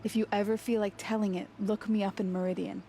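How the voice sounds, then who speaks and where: A woman's voice: mid-range voice, flat delivery, quick pace, mellow, faint music behind, natural voice. A woman voicing a fierce, proud young huntress in a video game. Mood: solemn, grateful.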